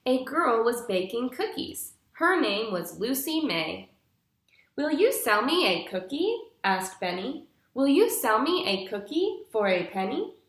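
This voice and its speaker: A young woman reads a storybook to children in a teacher's instructive way. Her pitch moves up and down a lot, and she takes her time over each phrase. The sound is echoey, recorded in a room a little way from the microphone. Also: big pitch swings, unhurried. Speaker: teacher reading aloud to pupils. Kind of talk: picture-book read-aloud for young children. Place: small living room, indoors. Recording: roomy indoor echo.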